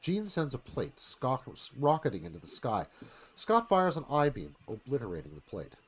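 A man's voice: medium pitch, huge pitch swings, moderate pace, slightly rough. A man narrating a screenplay dramatically in a live radio theatre reading.

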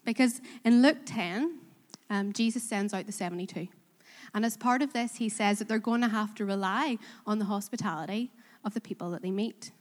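A woman's voice: mid-range voice, moderate pace. A woman with a Korean accent preaching a sermon in church, earnest and self-deprecating, asking the congregation questions. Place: church in Belfast. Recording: reverberant hall.

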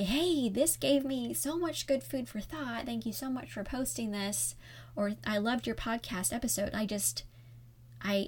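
Soothing-voiced woman hosting a podcast. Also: mid-range voice. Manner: conversational and reflective, candid. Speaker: podcast host. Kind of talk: solo podcast talk.

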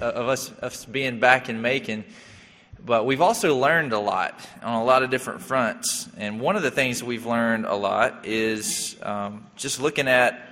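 Bright-voiced thirties man preaching. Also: mid-range voice, long reverb tail. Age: 30s. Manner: halting, with many fillers and restarts. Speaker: young adult pastor. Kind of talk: sermon.

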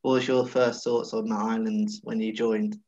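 A man's voice: on the low side, little pitch movement, normal speed, darkish tone, muffled recording. A man hosting a sports interview, asking hesitant questions and answering with short affirmations. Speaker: sports podcast host. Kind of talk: interviewer, casual chat.

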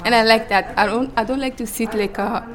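A woman's voice: a little high, fairly even intonation, brisk, music in the background. A woman in her twenties from Burkina Faso, friendly and laughing, sometimes searching for English words. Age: 20s. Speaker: culinary student and interpreter.

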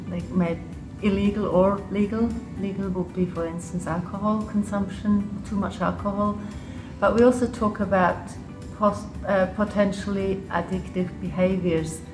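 A woman with an English accent, from Switzerland and Liechtenstein, giving unscripted interview answers as a guest in a thoughtful, hesitant way. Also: fairly low pitch. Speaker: interview guest, public health official. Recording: roomy echo, music behind. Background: music.

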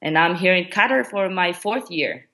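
A woman's voice: lowish voice, expressive, normal speed, sharp tone. A woman from Ecuador whose first language is Spanish, answering interview questions in English with frequent ums and restarts. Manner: hesitant, many ums and restarts. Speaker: guest, education coordinator.

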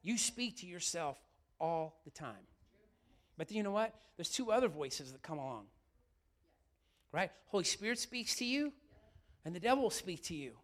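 A middle-aged male pastor preaching a sermon, his voice a little raspy from yelling, often asking the room questions. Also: sits high, big pitch swings, normal speed, long pauses.

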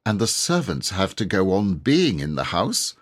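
A man voicing an earnest, excited schoolboy adventurer in an old storybook, speaking eagerly and emphatically in old-fashioned slang. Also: low voice, normal speed, heavily acted. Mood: eager, explaining.

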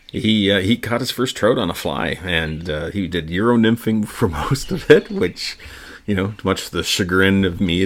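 A middle-aged Canadian man hosting a casual solo podcast, rambling and halting with many ums and uhs. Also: sits low. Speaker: podcast host.

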